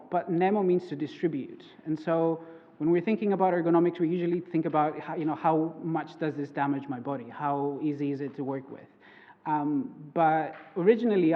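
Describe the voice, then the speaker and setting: A young man, a native Greek speaker, presents his research at a conference, hesitant and full of fillers and restarts. His voice sits fairly high for a man. The sound is thin and phone-like, with a long reverb trailing off the walls of a lecture hall. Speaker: conference speaker, PhD student. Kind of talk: academic conference talk.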